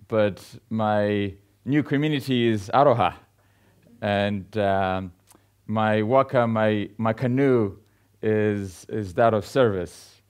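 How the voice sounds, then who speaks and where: A man, giving an earnest, measured conference keynote on a lapel mic in a large hall with an audience. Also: lowish voice, clear, resonant tone. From Ethiopia. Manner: earnest, measured delivery with frequent pauses and fillers. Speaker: CEO giving a keynote talk. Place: large indoor event hall with a seated audience.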